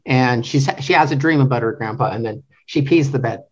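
A man from Canada with a mid-pitched voice talks through literature as a podcast guest. He is thoughtful and halting, pausing often to find the next word. The recording is a little dull and lo-fi. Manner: halting, searching for words, frequent hesitations. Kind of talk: thoughtful interview discussion of literature.

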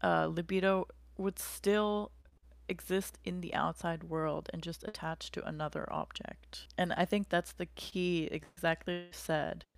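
American woman with a gravelly low voice. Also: fairly even intonation, unhurried, no echo. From United States. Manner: chatty, thinks aloud with likes and ums. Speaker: podcast co-host. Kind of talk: casual conversational explanation.

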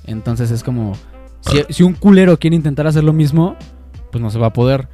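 A man's voice: on the high side, fairly quick, warm tone, faint music behind. A young man with a Mexican Spanish accent, co-hosting a podcast in casual chat, slangy and swearing freely with constant filler words. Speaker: podcast co-host.

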